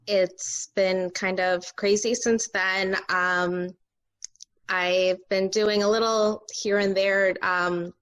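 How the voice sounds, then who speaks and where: A woman's voice: medium pitch, fairly bright tone. A woman who works as an actor, chatting casually on a remote call. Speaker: actor on a virtual table read. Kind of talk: casual chat.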